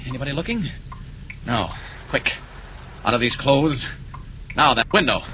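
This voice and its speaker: An actor playing a confident, determined reporter who is secretly a superhero, in assured, urgent 1940s radio style. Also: male voice, mid-range voice, lively intonation, moderate pace, lightly acted. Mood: urgent, heroic. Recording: noisy old 1940s radio broadcast, vehicle noise.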